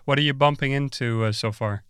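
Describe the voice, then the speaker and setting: A man's voice: medium pitch, a little fast, a bit bright, clear, resonant tone, dry recording, clean studio sound. A man hosting a podcast, introducing his guest and asking questions with stumbling restarts. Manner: stammering restarts when asking. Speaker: podcast host. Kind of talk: interviewing a guest.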